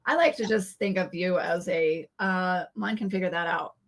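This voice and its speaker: A woman's voice: lowish voice, steady tone, moderate pace. A woman hosting a podcast, chatting casually with a guest and full of fillers and restarts. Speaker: podcast host. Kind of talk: casual interview chat.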